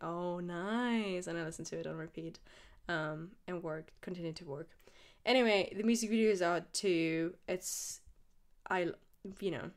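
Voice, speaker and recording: A woman's voice: fairly low pitch, smooth. A woman hosting a music podcast alone, rambling and enthusiastic, with lots of fillers. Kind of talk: casual solo podcast chat.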